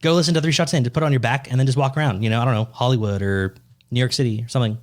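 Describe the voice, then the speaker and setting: A young man in his twenties co-hosts a comedy podcast with a playful, teasing manner. He laughs often and banters loosely with his co-host. His pitch sits in an ordinary middle range. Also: fairly even intonation, racing. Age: 20s. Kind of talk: casual comedic chat.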